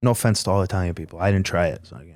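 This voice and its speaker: A man's voice: low-pitched, animated, very fast, a little mellow. A man co-hosting a podcast in casual chat, joking and teasing the others. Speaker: podcast co-host.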